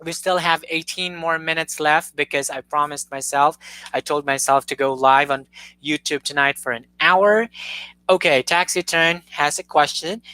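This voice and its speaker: A young woman chats on her live stream in an earnest, gushing way, often stopping to restart a sentence. Her voice sits a little low but sounds bright and forward. The sound is dry and close. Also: moderate pace, dry recording, rough recording. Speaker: YouTube host. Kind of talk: casual live-stream chat.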